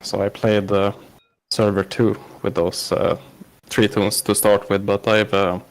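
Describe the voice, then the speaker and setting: A man in his thirties speaks with a Swedish accent, his voice gravelly and dragged down by a cold. He answers questions casually but haltingly, with lots of pauses and restarts, since English is his second language. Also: fairly low pitch, level tone, a little hiss or hum, rough recording. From Sweden. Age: 30s. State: has a cold. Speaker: podcast guest. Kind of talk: casual interview chat.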